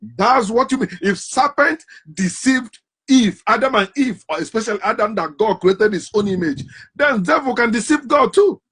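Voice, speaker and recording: A man's voice: very high voice, lively intonation, moderate pace, a bit bright, slightly rough. A man giving an impassioned, emphatic monologue on religion, hammering home rhetorical questions. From Africa. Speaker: commentator on religion.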